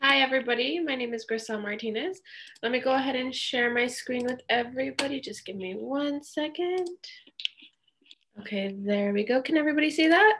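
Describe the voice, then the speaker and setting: High-voiced friendly young woman. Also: brisk, fairly bright tone, slight background noise. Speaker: student intern presenting. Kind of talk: online presentation. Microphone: video call.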